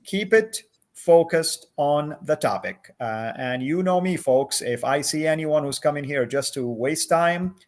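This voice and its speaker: A man hosting a livestream interview over a video call, friendly, with many filler "uh"s and animated questions. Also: a little high, unhurried. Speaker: host.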